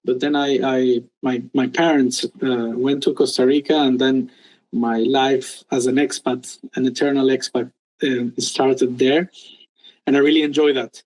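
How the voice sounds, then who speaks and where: A man's voice: medium pitch, little pitch movement, a little slow, a little mellow, poor audio. Hesitant man from Peru. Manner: hesitant, many ums and restarts. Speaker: guest entrepreneur. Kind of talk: casual interview talk.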